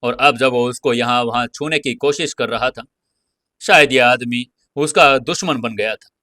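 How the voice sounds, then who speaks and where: A man's voice: mid-range voice, moderate pace, bright, forceful tone, no room sound, clean studio sound. A man narrating an audio serial in a dramatic, scripted storytelling style.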